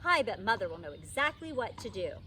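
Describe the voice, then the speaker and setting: A woman's voice: medium pitch, big pitch swings, fairly quick, pushed and bright, pitched below the performer's own voice. A woman voicing a patient, weary, no-nonsense grandmother in a storybook reading, firm and reasoning, recorded outdoors in a park. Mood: placating.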